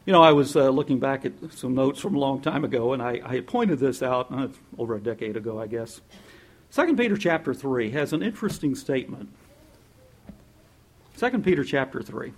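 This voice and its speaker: A man delivering a sermon to his congregation in an easygoing, conversational voice of middling pitch. He laughs often and slips into playful impressions when he quotes people. His energy climbs when he urges the listeners on. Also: lively intonation, brisk. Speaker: pastor.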